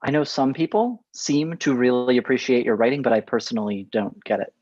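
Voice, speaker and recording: Slightly muffled audio. Uneasy fortyish producer, read by a man, blunt, halting, medium-pitched comedy. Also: a little mellow, close to an everyday voice. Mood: blunt, weary. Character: Mike, uneasy, blunt forty-year-old TV producer boss. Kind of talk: comedy script table read.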